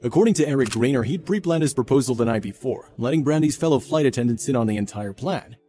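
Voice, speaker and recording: A male narrator delivers a scripted viral story at a brisk clip. His tone is mellow and soft-edged, sitting at a medium pitch, with faint music behind him. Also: lively intonation. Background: faint background music.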